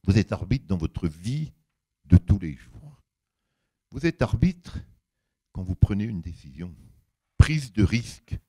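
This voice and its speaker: Deep-voiced older man, hugely animated delivery. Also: slow pace, pauses often. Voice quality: big deep voice. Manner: animated storytelling, colloquial, emphatic, acts out reported dialogue. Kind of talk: anecdotal public talk to an audience.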